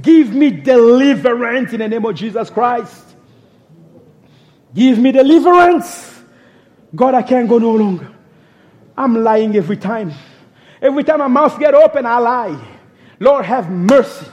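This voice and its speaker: A man's voice: very high voice, lively intonation, unhurried, pauses often, a little mellow. A young man, a minister, preaching and then praying fervently, crying out in pleading phrases between calls to God. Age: young adult.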